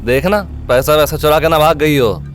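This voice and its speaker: A man's voice: fairly high pitch, normal speed, close to an everyday voice. A man playing a brash, guarded college-age son in an audio drama, speaking bluntly. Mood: scornful. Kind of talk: Hindi audio story drama. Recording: background music under the voice.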